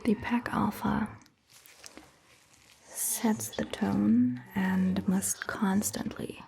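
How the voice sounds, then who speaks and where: A woman voicing a calm, attentive vampire doctor in a gentle, breathy, mostly whispered ASMR roleplay, speaking in a measured way. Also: mid-range voice, a little hiss or hum, full character voice. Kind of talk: soft-spoken ASMR roleplay.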